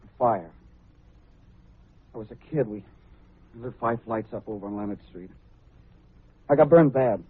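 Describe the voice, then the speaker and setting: An actor playing a defiant, self-conscious young street tough in an old-time radio crime drama. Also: male voice, on the low side, moderate pace, long pauses, slight background noise, natural voice. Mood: subdued, guarded. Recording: narrow, phone-like vintage radio recording.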